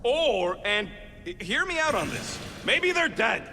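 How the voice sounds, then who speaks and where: A small, sarcastic raccoon mercenary grumbles through a video game scene. The voice is high for a male and very lively, full of snide slang. It rings with long reverb. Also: a little slow, slight background noise, exaggerated voice. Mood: snide, irritable. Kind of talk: video game cutscene voice acting.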